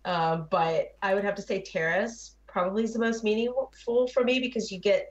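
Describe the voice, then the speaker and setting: A woman's voice: mid-range voice, fairly even intonation, moderate pace. A woman on a gaming panel chatting informally and retelling her experiences, heard over lo-fi voice chat audio. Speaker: show panelist.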